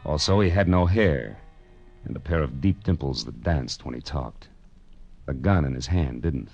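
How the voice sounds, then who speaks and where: An actor playing a wry, hardboiled private detective in an old radio crime drama, with dry wisecracking delivery. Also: male voice, rumbling low, animated, moderate pace, vocal fry, darkish tone, breathy edge, dull audio, soft background music, full character voice. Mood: wry, narrating. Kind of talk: hardboiled 1950s radio detective narration and dialogue.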